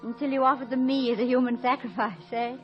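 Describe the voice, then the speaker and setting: An actress playing an affectionate, gently teasing and supportive wife of a college president in a 1950s radio comedy. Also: female voice, a little high, steady tone, fast talker, faint music behind, lightly acted. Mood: playful, fond. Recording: muffled old radio recording, faint crowd and noise.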